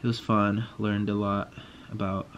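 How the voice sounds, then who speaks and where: A man's voice: on the low side, flat delivery, unhurried, a bit bright, dull audio, a little hiss or hum. Laid-back young man vlogging. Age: young adult. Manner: laid-back, rambling, slangy. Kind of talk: casual evening recap vlog. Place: small walk-in closet indoors. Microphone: phone camera close to face.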